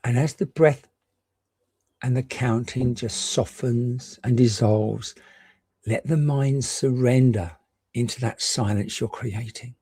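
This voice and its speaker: A man gently and reassuringly guiding a meditation, leaving unhurried pauses between short phrases. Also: mid-range voice, steady tone, breathy edge, dry recording. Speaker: meditation guide. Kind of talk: guided meditation.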